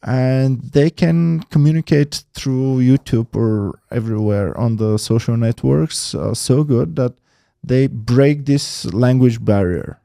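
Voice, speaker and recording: Slow, gravelly man. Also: medium pitch, dark tone, no echo, studio quality. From Eastern Europe. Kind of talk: casual interview chat.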